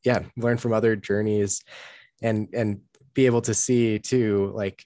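A man's voice: fairly low pitch, flat delivery, a little slow, mellow, no room sound. A fairly young man hosting a podcast in a casual, conversational style. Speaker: podcast host. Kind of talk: casual interview chat and podcast sign-off.

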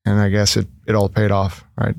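A man's voice: on the low side, monotone, brisk, gravelly, darkish tone, clear, resonant tone, no echo. A man in his thirties from Ecuador, a guest in a casual interview, talking earnestly with many fillers and restarts. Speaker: guest, entrepreneur.

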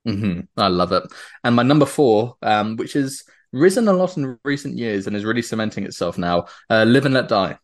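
A man's voice: on the low side, animated, brisk. A British man chatting enthusiastically as a podcast guest, laughing often between his points. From Britain. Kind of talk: casual chat.

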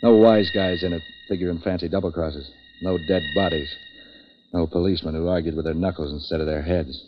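Low-voiced, hard-boiled 1940s radio tough guy, played by an actor. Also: slight background noise, natural voice. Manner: deadpan, clipped, wisecracking. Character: tough, street-wise boat-for-hire man turned sleuth. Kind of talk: 1940s radio noir first-person narration and dialogue. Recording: dull, lo-fi 1940s radio recording.

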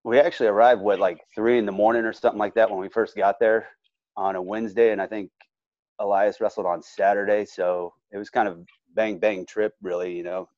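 A man's voice: fairly low pitch, little pitch movement, brisk, darkish tone, no room sound, dull audio. A man, an assistant wrestling coach, talking in an easygoing, matter-of-fact way in a casual interview.

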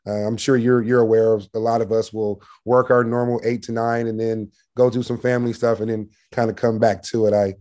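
A man speaking as an interview guest in a conversational business style, with a loud, assertive, salesman-like delivery. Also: mid-range voice, level tone, brisk, few pauses. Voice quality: loud, projecting voice.

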